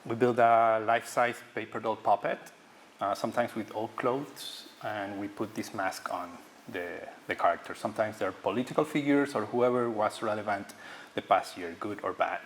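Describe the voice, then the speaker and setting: Halting Ecuadorian-born man, low voice. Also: slight background noise. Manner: halting, searching for words, many fillers. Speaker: guest artist. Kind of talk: public artist talk. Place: auditorium.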